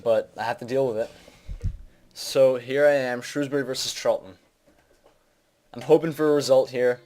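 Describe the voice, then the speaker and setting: A man's voice: mid-range voice, fairly even intonation, moderate pace, darkish tone. A young man giving casual, unscripted video game commentary in an informal, hesitant and self-deprecating way. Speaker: gaming video host.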